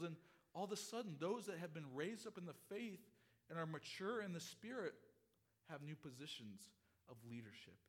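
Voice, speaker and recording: A middle-aged pastor preaching a sermon, his voice hoarse from a cold, earnest and expository, often repeating phrases. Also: high voice, a bit bright.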